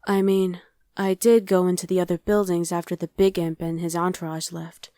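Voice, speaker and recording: A woman's voice: fairly low pitch, little pitch movement, moderate pace, mellow, no room sound, studio quality, lightly acted. A woman playing a friendly, streetwise young survivor of a demon invasion in an ASMR roleplay, talking casually and matter-of-factly to the listener with some hesitation. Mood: casual, musing. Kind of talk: ASMR roleplay, conversational acting addressed to the listener.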